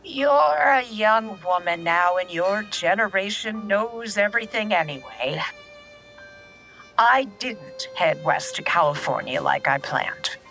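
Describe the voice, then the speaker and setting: An elderly grandmother in an audio drama, candid and steady as she recalls her past. Her delivery is unhurried and reflective, with expressive swings in pitch. Faint music sits behind the voice. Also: exaggerated voice, low-quality recording. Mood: somber, reflective. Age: older. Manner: reflective, measured storytelling.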